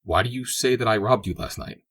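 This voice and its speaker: A man doing a calm, composed baronet near fifty. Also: fairly low pitch, brisk, breathy edge, voice pushed up.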